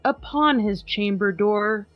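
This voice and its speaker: A woman podcast host giving a dramatic poetry reading, trading lines with a co-reader in a measured way. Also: mid-range voice, no room sound. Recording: slightly muffled with faint music.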